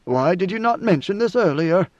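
A man voicing an ancient, brooding male God Emperor who speaks in a slow, measured way, with weary authority. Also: high voice, expressive, a little mellow, no echo, pitched above the performer's own voice. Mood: stern. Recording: dry, slightly muffled recording.

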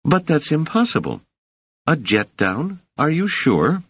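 A man reading an audiobook voices a worried, protective woman in a raised, softened voice, earnest and insistent. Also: fairly high pitch, big pitch swings, measured pace, husky, no echo, pitched above the performer's own voice, exaggerated voice. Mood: alarmed. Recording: dull, dry, lo-fi sound.

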